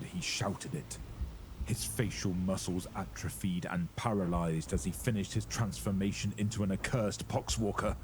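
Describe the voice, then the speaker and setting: A man's voice: deep voice, noisy background. A man narrating a horror audio drama in a grave, ominous, scripted dramatic storytelling style.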